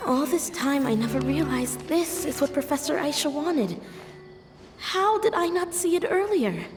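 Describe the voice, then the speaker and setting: A woman's voice: high voice, expressive, unhurried, over music. A woman playing an earnest, curious young would-be adventurer in a video game, sincere and reflective. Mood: earnest, resolved. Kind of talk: scripted video game dialogue.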